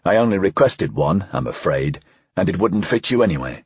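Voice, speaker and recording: A stern, weary male police veteran, performed by a male audiobook narrator, dry and formal. The voice is low and husky, clipped in manner and sardonic underneath. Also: big pitch swings, normal speed, no echo, muffled recording, exaggerated voice. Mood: dry, curt. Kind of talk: audiobook character dialogue.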